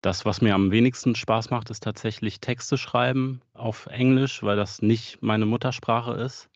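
A man's voice: medium pitch, steady tone, a little slow, dry recording, muffled recording. A man talking casually and reflectively about his music in an interview. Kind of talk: relaxed interview talk.

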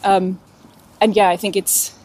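A woman's voice: medium pitch, little pitch movement, a little slow, a little mellow. A woman, a writer, talking casually outdoors on a city street, hesitant and searching for her words. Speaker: writer and guest. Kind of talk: casual conversation while walking.

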